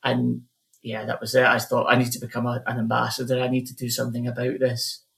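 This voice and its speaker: A man's voice: medium pitch, monotone, fast talker, vocal fry, a bit bright. A man with a Glasgow accent, chatting on a podcast as a new co-presenter, talking in a loose, rambling way with lots of fillers. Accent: Glaswegian. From Scotland. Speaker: guest co-presenter, charity ambassador. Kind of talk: casual podcast chat.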